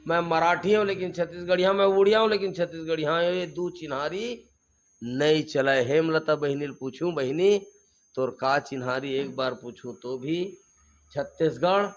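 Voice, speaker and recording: A man's voice: on the high side, moderate pace. A man with a Chhattisgarhi accent, a regional activist giving fiery, emphatic interview answers and repeating slogans.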